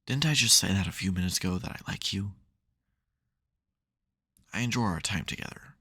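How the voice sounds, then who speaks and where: A man playing a supervillain nemesis who is secretly soft, teasing dryly and getting flustered in an intimate audio roleplay. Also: sits low, animated, moderate pace, husky, heavily acted. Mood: reassuring, sheepish. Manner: dry teasing, hesitant, mock-grudging.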